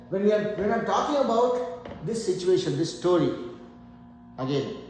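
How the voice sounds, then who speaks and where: A man's voice: on the high side, a little mellow. A man in his forties teaching a live math class, patiently repeating points and asking students questions. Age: 40s. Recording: echoey room, faint background noise.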